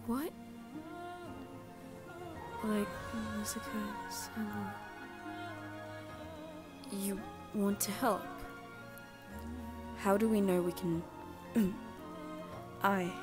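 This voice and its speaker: A wary teenage anime boy acted by a woman speaks straight to the listener in an ASMR roleplay. The delivery is unhurried and stammering, easily flustered, at a medium pitch for a female voice. Music plays clearly underneath. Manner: halting, stammering. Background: background music.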